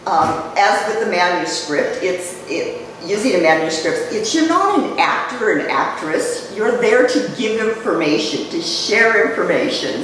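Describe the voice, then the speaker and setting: An older woman giving a chatty public talk to a live audience, her voice dropping low as she speaks. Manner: conversational, chatty, jokes with the audience. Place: community event room. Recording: echoey hall, mic far off, noisy.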